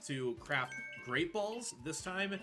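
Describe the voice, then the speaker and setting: A man's voice: a little high, expressive, takes it slowly, music in the background. Man casually commentating his live speedrun. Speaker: speedrunner playing the game.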